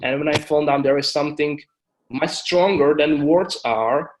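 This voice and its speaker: A man in his twenties from the Czech Republic, with a Czech accent, speaking careful, halting English as a body language expert in an interview. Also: on the high side, a little hiss or hum, rough recording. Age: 20s. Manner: careful, halting non-native phrasing. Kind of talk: casual interview talk.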